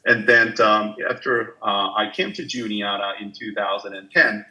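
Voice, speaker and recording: A man's voice: lowish voice, normal speed, pushed and bright, low-quality recording. A business professor from Taiwan, in his forties, giving an online guest lecture with frequent fillers and restarts. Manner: hesitant, many fillers and restarts.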